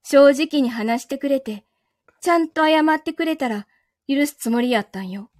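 A woman's voice: high-pitched, moderate pace, darkish tone, dry recording, clean studio sound, lightly acted. A woman playing a betrayed, possessive young lover in a live audio drama, with a Kansai Japanese accent and a controlled, coldly teasing manner. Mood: cold, probing.

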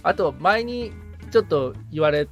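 A man's voice: fairly high pitch, animated, unhurried, fairly bright tone, faint music behind. A man who is a novice podcaster, chatting casually and candidly as the assistant on a show. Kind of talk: casual chat.

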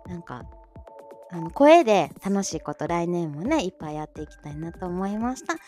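A woman's voice: on the low side, sing-song, moderate pace. A woman hosting a casual livestream, chatting and making announcements in a bubbly, giggly manner while reacting to listeners. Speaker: host of a short audio livestream, amateur voice-drama club member. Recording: soft music bed under voice. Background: soft background music.

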